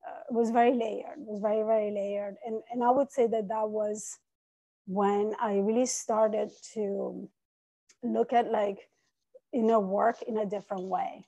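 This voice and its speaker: Gravelly, mellow woman telling her life story. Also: mid-range voice, level tone, unhurried, muffled recording. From Iran and Italy. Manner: earnest, reflective, soft-spoken. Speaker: coach, podcast guest. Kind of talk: personal storytelling in interview.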